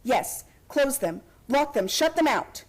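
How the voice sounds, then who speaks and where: A woman's voice: high voice, big pitch swings, slow, exaggerated voice. A woman playing an earnest, headstrong young heiress of a factory family in a radio play, speaking insistently. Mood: defiant, commanding. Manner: earnest, insistent, pleading to commanding.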